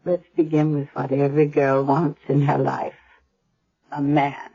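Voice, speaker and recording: An actress playing a frail, tender, dying blind grandmother in a radio drama, speaking gently and haltingly. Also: sits low, little pitch movement, heavily acted. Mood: gently probing. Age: older. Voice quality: husky, frail. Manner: slow, gentle, halting delivery. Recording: dull old 1970s radio broadcast.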